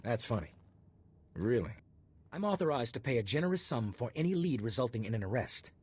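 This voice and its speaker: An actor voicing a cynical, officious customs agent questioning someone in a video game, with a husky voice. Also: male voice, medium pitch, big pitch swings, fairly quick. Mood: probing, official.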